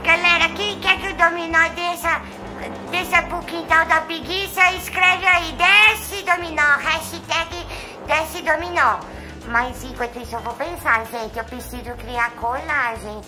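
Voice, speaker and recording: Brazilian lady doing a coy cuddly male cat voice, real high, music playing under it. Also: steady tone, a little slow. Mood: chatty. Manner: sweet, coy, chatty to viewers. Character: chatty, nervous, affectionate young male house cat. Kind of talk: pet vlog cat voice-over.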